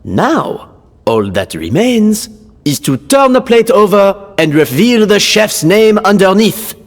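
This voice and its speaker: A dignified contest judge with a high, lively voice that makes slow, deliberate pronouncements. Long reverb hangs on the game audio. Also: male voice, full character voice. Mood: formal. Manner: formal, deliberate. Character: formal male contest judge, stiff and dignified. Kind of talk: video game voice acting.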